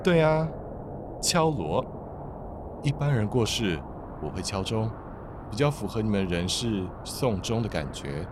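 A man's voice: lowish voice, sing-song, a little slow, pauses often, noisy background. A man voicing a male messenger of death who speaks playfully and with mock kindness, over music. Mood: teasing.

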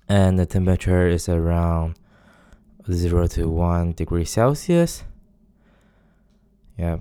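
A man in his twenties from Taiwan, with a Taiwanese accent and Mandarin Chinese as his first language, hosting a casual solo podcast and pausing often to search for words. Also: low-pitched, warm tone, clear, resonant tone. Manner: hesitant, searches for words with many pauses. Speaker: podcast host.

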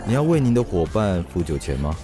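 A man's voice: deep voice, big pitch swings, fairly quick, music in the background. An actor in an audio drama playing a polite male bar waiter. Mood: courteous.

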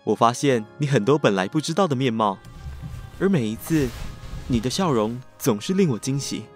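Man voicing a cheerful lovestruck schoolboy, Taiwanese accent, lively swooping intonation, playful and earnest, radio drama. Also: mid-range voice, moderate pace, faint music behind, natural voice. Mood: tender, earnest. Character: cheerful, persistent teenage schoolboy with a crush. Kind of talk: Mandarin boys' love radio drama acting.

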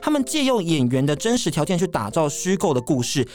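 A radio presenter with a Taiwanese accent hosts an interview show. The delivery is highly animated, moving up and down freely in a mid-range pitch. Music plays faintly behind the voice. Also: brisk. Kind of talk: radio interview hosting, introducing songs and segments.

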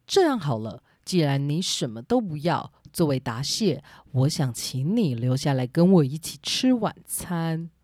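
A stately storybook king, acted by a woman, pitched down low in a dry, close sound. He speaks formally and with measured authority, as a ruler in a children's audio play. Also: very animated, a little mellow, no echo, heavily acted. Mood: gracious, humbled. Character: stern but grateful old-tale king, male.

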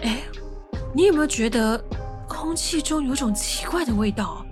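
A woman playing an excitable, impatient young sleuth in an audio drama, with a brash, colloquial, expressive delivery. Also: high-pitched, normal speed, over music. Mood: curious.

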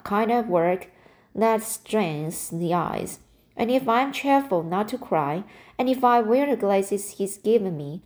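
A woman reading the lines of a weary, bitter elderly woman in a slow, plain voice. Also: medium pitch, a little mellow, natural voice.

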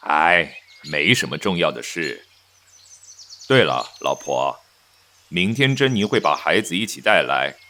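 A man's voice: extremely low pitch, very animated, a little slow, pushed and bright, slight background noise, exaggerated voice. A man playing a controlling young nineteenth-century husband in a Mandarin radio drama, hearty, self-assured and patronizing.

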